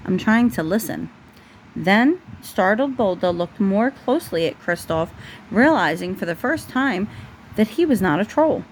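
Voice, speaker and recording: A woman's voice: mid-range voice, expressive, normal speed, poor audio. A woman with a mid-range voice reads a bedtime storybook aloud as a parent. It is a home recording with light noise behind her.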